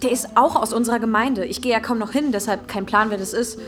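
Actress as a cheeky, high-voiced teenage girl. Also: fast, music in the background, lightly acted. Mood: chatty. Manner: slangy, teasing, brash. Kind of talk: naturalistic German radio drama dialogue.

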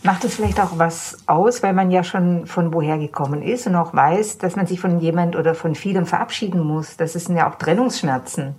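A woman's voice: lowish voice, brisk, few pauses, a little mellow, husky. A woman interviewer asking a guest probing, conversational questions.

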